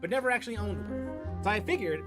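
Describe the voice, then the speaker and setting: A young man streaming games, chatting casually in a rambling, halting way and repeating phrases for emphasis. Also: sits high, animated. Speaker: gaming streamer. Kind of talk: casual, rambling gameplay chat. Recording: game music behind.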